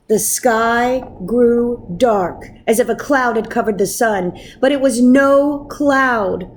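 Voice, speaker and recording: Female storybook narrator: slow, higher-pitched, dramatic, with deliberate pauses. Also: a little hiss or hum. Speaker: storybook reader. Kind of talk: expressive read-aloud narration of a children's story.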